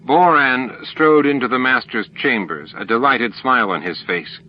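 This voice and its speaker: A man's voice: medium pitch, moderate pace, dull audio, faint music behind. A man reading a science fiction novel aloud as a scripted, dramatic audiobook narrator.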